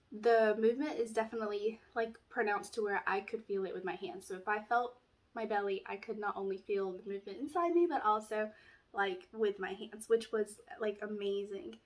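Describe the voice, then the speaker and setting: A woman's voice: medium pitch, normal speed. Stuffy-nosed young woman vlogging casually. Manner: chatty, rambling, many "like" fillers. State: stuffy nose from allergies. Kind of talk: casual pregnancy update vlog. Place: small room at home, indoors. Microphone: camera in front, framed at chest.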